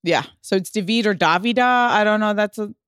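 A woman hosting a casual podcast, chatty and teasing, quick to compliment her guest. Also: medium pitch, fairly bright tone, smooth, dry recording, clean studio sound. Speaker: podcast host.

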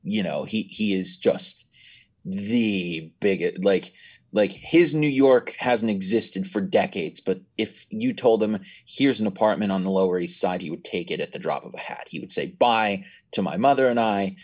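Low husky-voiced young man. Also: normal speed, a little mellow. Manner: rambling storyteller, many restarts. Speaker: podcast guest. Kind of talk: casual chat.

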